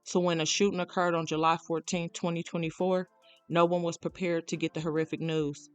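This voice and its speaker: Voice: mid-range voice, flat delivery, brisk, clean tone, soft background music. A narrator reading a scripted true crime documentary account.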